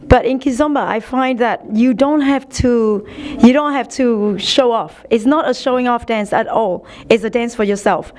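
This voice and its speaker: A woman's voice: fairly high pitch, moderate pace, a little mellow. A woman from Vietnam, a guest dancer, explaining her views in an earnest, emphatic way.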